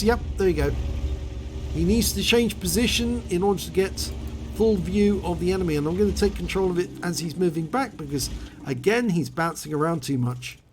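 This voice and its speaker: A man with a hoarse voice after a cold, calmly explaining and calling the play in a video game replay. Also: high voice, slight background noise.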